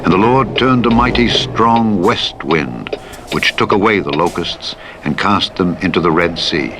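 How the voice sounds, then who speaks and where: A man with a fairly low voice narrates scripture. His delivery is solemn, formal and measured, in the manner of a Bible audiobook. Clear engine and road noise sits behind the reading. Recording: steady vehicle noise over playback. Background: truck simulator engine and road noise.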